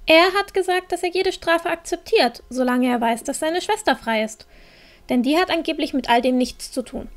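A woman's voice: sits high, expressive, normal speed. A woman playing an earnest, pragmatic young girl adventurer in a fantasy audio drama, explaining and pleading. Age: young adult. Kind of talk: fan-made fantasy audio drama acting.

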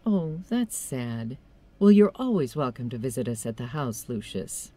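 A woman's voice: a bass voice, big pitch swings, unhurried, dark tone, dry recording, heavily acted. An actress playing a kindly middle-aged shopkeeper and mother in a video game cutscene. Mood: kindly, concerned. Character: kindly working mother, female small-town shopkeeper.

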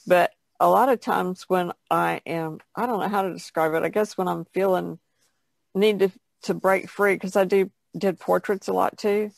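A woman's voice: on the low side, fairly even intonation, moderate pace, gravelly, dry recording. A woman painter chatting casually as a podcast guest, explaining loosely with trailing asides. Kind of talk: casual chat about painting.

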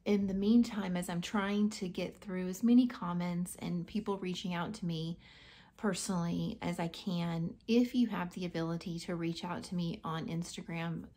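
A woman's voice: on the low side, fairly even intonation, normal speed, mellow. An American woman in her thirties talking casually and earnestly to camera in a small room. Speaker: vlogger.

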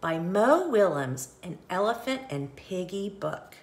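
A woman reading a picture book aloud for children, expressive, with questioning asides to young listeners. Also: medium pitch, measured pace. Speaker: children's story reader and host.

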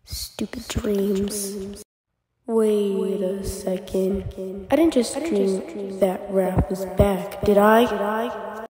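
A woman's voice: mid-range voice, steady tone, drawn-out words, a crowd in the background. A woman voicing a weary, anxious mutant turtle leader in a cartoon comic dub, hesitant and subdued. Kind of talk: fan comic dub acting.

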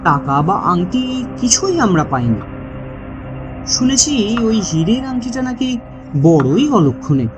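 A woman voicing a businesslike male police inspector in a mystery audio story, asking measured, probing questions over music. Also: fairly high pitch.

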